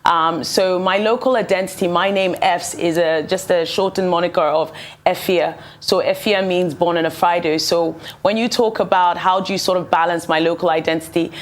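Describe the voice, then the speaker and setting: A woman's voice: fairly low pitch, steady tone, normal speed. A young woman from Ghana, raised partly in England, a musician answering interview questions articulately and peppering her speech with "you know". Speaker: musician guest. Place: television studio.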